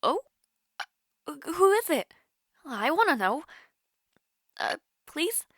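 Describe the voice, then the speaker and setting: A shy, soft-hearted young woman played by an actress talks as if on a private call with her crush in a romantic audio roleplay. Her delivery is unhurried and hesitant, with expressive swings in pitch. The sound is dry and close, and her manner is caring and easily flustered. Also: no room sound, exaggerated voice.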